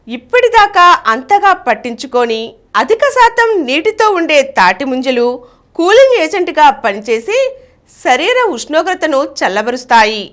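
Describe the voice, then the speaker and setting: A woman's voice: sits high, a bit bright. A woman reading a scripted, informational health narration.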